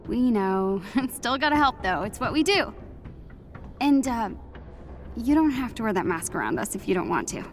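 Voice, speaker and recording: A woman's voice: a little high, big pitch swings, moderate pace, soft background music. A woman playing a friendly, eager, slightly awkward teenage superhero in a video game, casual and earnest. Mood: warm, earnest. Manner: casual, earnest, giggly asides.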